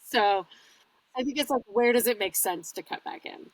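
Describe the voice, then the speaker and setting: Higher-voiced North American woman, dry close sound. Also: moderate pace, no echo, poor audio. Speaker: audiobook narrator, interview guest. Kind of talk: casual podcast chat.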